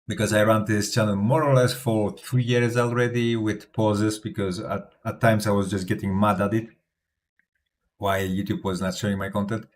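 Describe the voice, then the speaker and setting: A fairly low-pitched man speaks English with a Polish accent, for whom Polish is the native tongue. He talks casually and bluntly to his viewers, restarting phrases and slipping in short laughs as he gives confident advice. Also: flat delivery, moderate pace. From Poland. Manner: chatty, frequent restarts and little laughs. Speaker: crypto YouTuber. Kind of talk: casual talking-to-camera vlog and Q&A.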